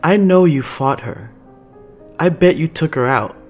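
A man's voice: medium pitch, a little slow, faint music behind, natural voice. A man voicing a pouting, defiant young female fighter in a petulant, indignant way for a story.

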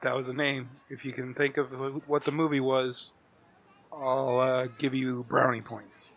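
A man's voice: mid-range voice, little pitch movement, measured pace, fairly bright tone. A man playing a tabletop role-playing game, chatting casually and cracking jokes. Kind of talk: casual joking table chat.

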